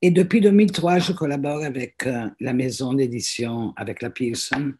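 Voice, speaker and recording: A woman's voice: a bass voice, normal speed, a little mellow, breathy edge. A woman in her seventies, a native French speaker, introducing herself on a video call with frequent fillers and restarts. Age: 70s. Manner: hesitant, many fillers and restarts. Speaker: teacher and guest reader. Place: small room at home, indoors. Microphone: laptop webcam on a video call.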